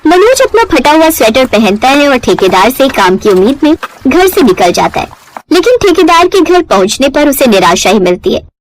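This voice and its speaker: Woman, scripted story narration, dramatic, high pitch, animated intonation. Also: normal speed, slight background noise, poor audio.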